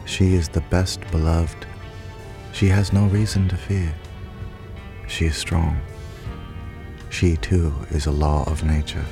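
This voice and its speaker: A man playing a bookish, proper, tender scholar in a radio play, speaking in an earnest, halting way. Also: sits low, little pitch movement, vocal fry, music in the background, heavily acted.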